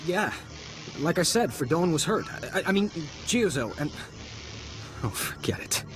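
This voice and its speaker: An actor playing a weary, desperate army private, halting and pleading, in a video game. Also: male voice, on the high side, big pitch swings. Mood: guilty, flustered.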